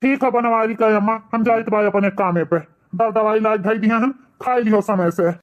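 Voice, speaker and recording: A man's voice: sits high, moderate pace, lo-fi sound, natural voice. A man voicing a grumbling but concerned old village husband in a cartoon comedy, scolding and questioning, with an eastern Uttar Pradesh accent. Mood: worried. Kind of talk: cartoon comedy dialogue in rural dialect.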